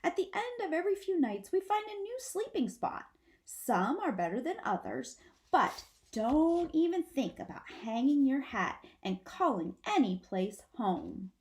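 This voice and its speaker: A woman's voice: sits high, big pitch swings, unhurried, darkish tone, voice pushed up, exaggerated voice. A woman voicing a mother possum in a children's storybook, warning and teaching her babies in an emphatic, instructive way.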